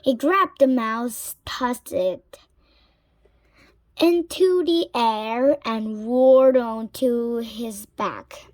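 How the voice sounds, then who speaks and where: A woman reading a children's story aloud in a dramatic way, sometimes hushed. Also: on the high side, extremely slow, dry recording. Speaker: storybook reader.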